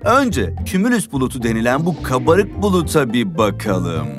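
A man's voice: mid-range voice, very animated, moderate pace, close to an everyday voice. A man voicing a friendly, showy rainbow performer in a dubbed children's cartoon, explaining things in an upbeat, theatrical way. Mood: proud, welcoming. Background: music.

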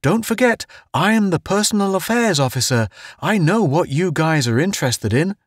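A man acts out a cheerful, wisecracking spaceman who loves to needle his pals. The voice is pitched high and swoops about with gleeful, knowing mischief, like a storybook joker, in a dry, close recording. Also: animated, normal speed, no room sound. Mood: sly, knowing. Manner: gleeful, teasing, folksy wisecracking. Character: jovial, needling, giggly spaceman pal, personal affairs officer. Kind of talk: audiobook character voice.

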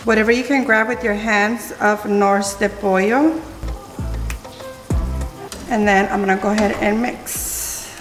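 A woman's voice: mid-range voice, steady tone, a little slow, pauses often. A woman in her thirties chatting casually through a cooking vlog, dropping Spanish phrases now and then. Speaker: vlogger. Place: large home kitchen. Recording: roomy kitchen echo with background music.

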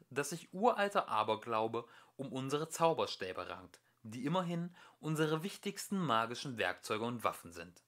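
Male amateur audiobook narrator, mid-pitched, animated intonation, expressive scripted read.

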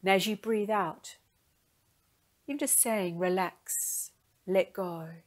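A woman guides a meditation at a very slow, unhurried pace. Her mid-pitched voice is gentle and soothing, letting each soft phrase settle before the next.

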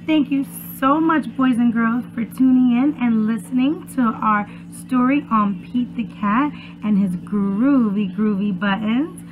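A young woman, a preschool teacher, reads aloud and chats to small children in an expressive, encouraging way, on a muffled webcam recording made at home. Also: fairly high pitch, unhurried, few pauses, noisy background. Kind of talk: children's read-aloud and chat. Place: small furnished room at home, indoors.

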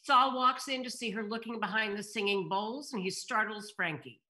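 A woman's voice: mid-range voice, normal speed, sharp tone. A woman reading out stage directions as scripted narration during a table read on a video call. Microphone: computer on video call.

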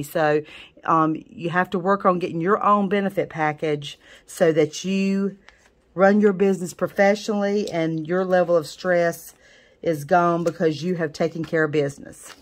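Chatty woman with a lowish voice. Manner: chatty, with many fillers and restarts. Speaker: nail technician reviewing a book. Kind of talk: casual book review.

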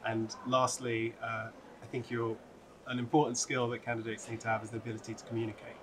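A young man from the UK, a recent PhD graduate, giving advice in a steady, even way. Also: mid-range voice, fast, noisy background. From United Kingdom. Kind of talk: answering interview questions to camera. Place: large open atrium, indoors. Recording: crowd chatter behind the voice.

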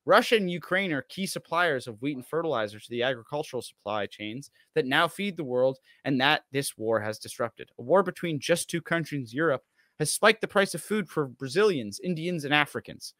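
A man's voice: fairly high pitch, lively intonation, fairly quick, fairly bright tone, no room sound. A man in his thirties co-hosting a casual show, reading aloud and dropping sarcastic, mocking asides. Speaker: show co-host. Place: small room at home, on a video call stream.

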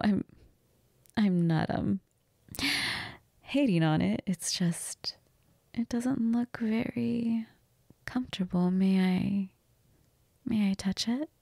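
Woman playing a breathy, flirtatious vampire. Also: mid-range voice, dry recording, clean studio sound, full character voice. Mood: flirty. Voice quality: breathy, half-whispered.

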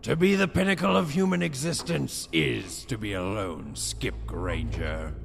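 A man's voice: medium pitch, unhurried, gravelly, a little hiss or hum. A male actor playing a grandiose, gloating villain admiral in an audio drama, declaiming pompously and savoring his words. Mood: grandiose, sneering. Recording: long reverb, music underneath.

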